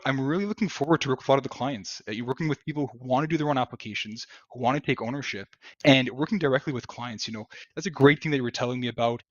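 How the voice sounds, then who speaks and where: A man's voice: medium pitch, dry recording. A man in his thirties from Ottawa, a native French speaker, introducing himself earnestly as an immigration lawyer over a video call, often restarting his phrases. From Ottawa, Canada. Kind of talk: self-introduction on a live stream. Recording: dry, dull lo-fi call audio.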